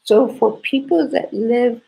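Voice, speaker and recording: A woman with a sore, congested throat leads a live knitting lesson. Her voice is high-ish, gravelly and husky. She talks very slowly and repeats herself as she explains each stitch. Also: dark tone, poor audio. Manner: slow, repetitive explaining with restarts. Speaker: knitting teacher hosting a live stream.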